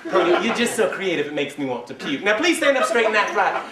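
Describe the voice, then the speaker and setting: A man's voice: high-pitched, very animated, quick pace, a crowd in the background. A man performing a fussy, overbearing Southern belle mother, genteel and gushing but cutting, in a comic stage piece. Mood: syrupy, bossy. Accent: American South. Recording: roomy, distant mic with hiss.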